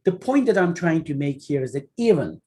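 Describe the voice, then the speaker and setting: Turkish-accented guy in his 40s lecturing over a video call, kinda high voice but soft and mellow, lots of ums and restarts. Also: sing-song, a little hiss or hum. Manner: earnest, halting explaining with many fillers and restarts. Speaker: journal editor and academic speaker. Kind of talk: online webinar lecture. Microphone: computer mic on a video call.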